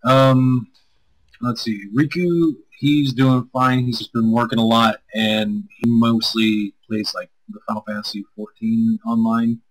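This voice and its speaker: A man's voice: mid-range voice, steady tone, unhurried, a little hiss or hum, rough recording. A man streaming as a co-host, chatting casually with viewers in a rambling, self-deprecating way.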